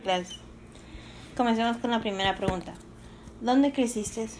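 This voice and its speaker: A woman's voice: on the high side, measured pace. A woman interviewing someone for an oral history at her home, asking questions plainly and politely. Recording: clear background noise, clicks.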